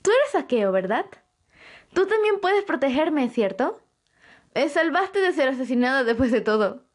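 Woman doing a super high bubbly anime girl, gushy n giggly, voice all over the place. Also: big pitch swings, measured pace. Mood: bossy, coaxing. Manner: gushing, giggly. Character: bubbly, bold, excitable young girl among vampires. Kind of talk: amateur anime-style audio roleplay acting.

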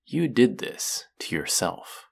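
A low, unhurried villain voice, acted by a man for a fantasy audio roleplay. He is menacing and mocking, a vengeful genius who picks his words deliberately and speaks with intimate, sardonic threat. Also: very animated, vocal fry, husky, heavily acted. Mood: mocking, bitter. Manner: slow, deliberate, sardonic, dropping into whispers.

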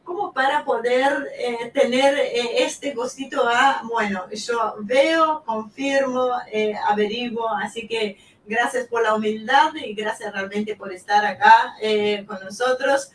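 A woman hosting a live online show, greeting viewers and introducing her guests in a relaxed way. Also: on the high side, takes it slowly, few pauses, reverberant, recorded from a distance, slight background noise, poor audio. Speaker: host. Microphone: headset on video call.

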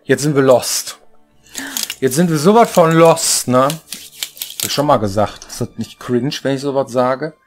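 A man's voice: mid-range voice, moderate pace, music in the background. A man co-hosting a casual studio chat, talking in a chatty way and throwing out rhetorical questions. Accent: Ruhr German. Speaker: co-host. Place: home studio with shelves.

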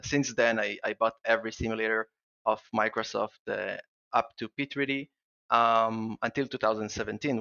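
Israeli guy w/ an accent telling his story on a podcast, gravelly and bright, stops and restarts a lot. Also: mid-range voice, muffled recording. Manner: halting, many restarts and fillers, eager. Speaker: guest plugin developer. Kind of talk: casual podcast interview.

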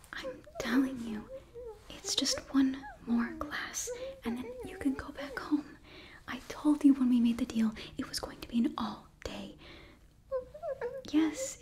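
A young woman performing a whispered ASMR roleplay, speaking softly and coaxingly right up close to the microphone. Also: high voice. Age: young adult. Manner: soft, coaxing, close-up whisper with murmured repetitions. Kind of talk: whispered ASMR roleplay, in character as a sorcery student.

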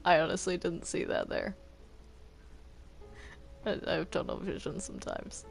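A person chatting casually while roleplaying in a video game, with a halting delivery that often trails off. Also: mid-range voice, steady tone, vocal fry, soft background music. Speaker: Minecraft roleplay player.

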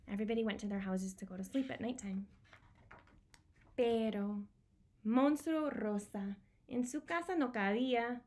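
A woman in her thirties presenting a bilingual picture-book storytime for young children, expressively explaining and repeating key words. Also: fairly high pitch. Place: small home room with bookshelves, indoors.

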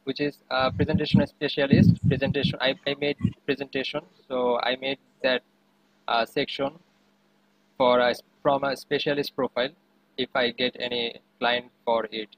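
A man's voice: mid-range voice, flat delivery, normal speed, no room sound, rough recording. A young man with a Bangladeshi accent answers interview questions on a video call, hesitantly and often repeating his phrases. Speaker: interviewee, web developer. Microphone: webcam video call.

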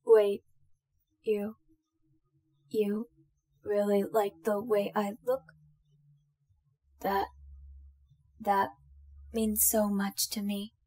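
A woman playing an affectionate, shy young female companion droid in a roleplay audio drama, speaking softly and sweetly to the listener. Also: medium pitch, level tone, drawn-out words, pauses often. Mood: self-conscious, touched.

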